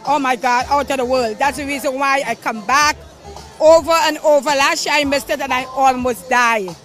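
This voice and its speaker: An effusive woman from Florida talks at a party. Her voice sits high. Faint music plays behind her. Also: normal speed, fairly bright tone, some chatter behind. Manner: gushing, enthusiastic. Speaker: event guest.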